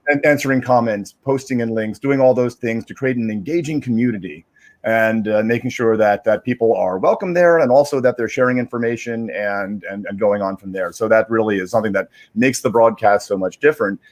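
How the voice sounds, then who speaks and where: A man chatting casually on a livestream, explaining in a halting, rambling way and repeating words as he searches for phrasing. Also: fairly low pitch. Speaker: digital media strategist, guest reader.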